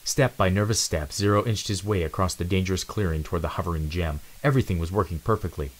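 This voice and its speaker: A man's voice: low-pitched, fast talker. A man giving a dramatic scripted reading of an adventure storybook as narrator.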